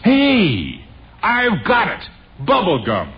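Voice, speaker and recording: An American actor in a 1950s radio sitcom, playing an excitable neighbor full of silly schemes, chuckling and musing as he talks. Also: mid-range voice, normal speed, lightly acted. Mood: excited. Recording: muffled noisy old radio recording.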